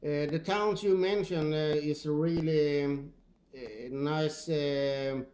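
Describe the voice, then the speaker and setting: A Swedish-accented man talks casually as a CB operator, with a halting, hesitant delivery. His voice is a little high and very unhurried, with faint noise behind it. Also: fairly even intonation, a little mellow. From Sweden. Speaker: CB radio operator. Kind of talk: casual two-way radio chat. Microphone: old radio microphone.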